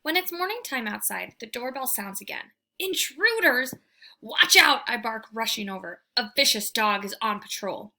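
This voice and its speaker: A woman reading a children's book aloud as a storyteller, with expressive, emphatic delivery. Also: a little high, normal speed, vocal fry, few pauses. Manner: animated, emphatic, theatrical delivery.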